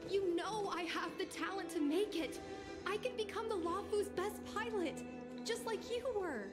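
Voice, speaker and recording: Defiant girl in a game, super high voice, headstrong, music behind. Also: lively intonation, moderate pace. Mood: defiant, indignant. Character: headstrong, defiant young daughter, aspiring pilot. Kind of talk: dramatic video game voice acting.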